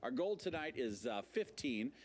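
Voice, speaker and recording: A man hosting a live TV music show, chatting casually and pitching a membership drive with jokes. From Nebraska. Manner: lively, jokey, quick to laugh. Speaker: TV show host.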